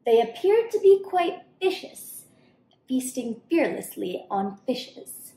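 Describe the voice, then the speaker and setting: Young woman reading, high voice, roomy sound. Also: very animated, slow pace, dark tone. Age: young adult. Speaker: storybook reader. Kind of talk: expressive children's read-aloud in rhyme. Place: home kitchen-dining room, indoors. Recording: roomy indoor echo.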